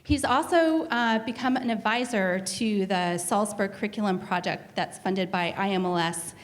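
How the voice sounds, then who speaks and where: A woman's voice: medium pitch, normal speed. Woman director giving a hesitant introduction. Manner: hesitant, many filler sounds. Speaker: school director introducing the speaker. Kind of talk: formal introduction.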